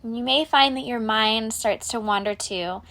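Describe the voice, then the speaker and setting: A woman leading a guided relaxation meditation in a calm, measured, soothing way, letting her phrases end softly. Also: fairly high pitch.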